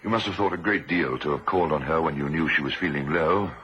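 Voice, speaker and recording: Very deep-voiced, courteous doctor. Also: male voice, quick pace, bright, forceful tone. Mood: polite, guarded. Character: courteous, uneasy male police doctor. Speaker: actor. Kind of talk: vintage radio drama acting. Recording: dull, hissy vintage radio recording.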